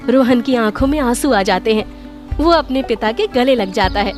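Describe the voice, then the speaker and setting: A woman's voice: a little high, expressive, normal speed. A woman reading scripted dramatic narration for a cartoon story. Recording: music under the voice.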